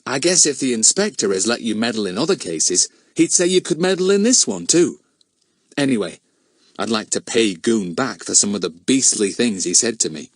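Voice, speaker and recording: A man's voice: mid-range voice, very animated, normal speed, dry recording. A man voicing a decent, downtrodden village police constable in a children's audiobook, plain-spoken and modest in his phrasing. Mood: resolved.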